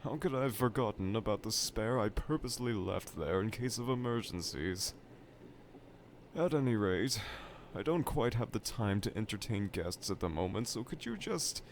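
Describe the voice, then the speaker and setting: A man playing a dejected, pompous evil scientist villain from a cartoonish game, delivering a theatrical, self-pitying roleplay monologue to the listener. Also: lowish voice. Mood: irritated, brooding. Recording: clear background laboratory ambience noise.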